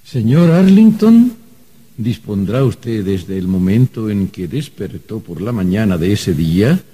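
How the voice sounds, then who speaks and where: Mellow, husky, officious archangel. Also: male voice, fairly low pitch, very animated, heavily acted. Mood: officious, pondering. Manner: bureaucratic, deliberate, formal. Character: officious, exasperated male archangel keeping records of the dead. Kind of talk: old-fashioned radio drama acting.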